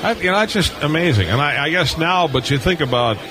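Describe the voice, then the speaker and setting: A man's voice: fairly high pitch, expressive, fast talker. A man hosting a casual live radio interview, curious and thinking aloud as he talks. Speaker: radio show host, interviewer. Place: busy indoor convention hall booth. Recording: busy convention floor crowd noise. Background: crowd chatter.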